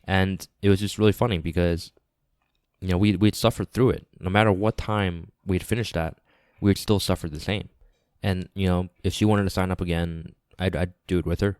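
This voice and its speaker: Deep-voiced man, nasal from a cold. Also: fairly even intonation, normal speed, darkish tone, no room sound, clean studio sound. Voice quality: slightly raspy, nasal. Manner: earnest, repeats phrases for emphasis. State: congested from a lingering cold. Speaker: podcast host. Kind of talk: casual podcast monologue. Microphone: close podcast microphone.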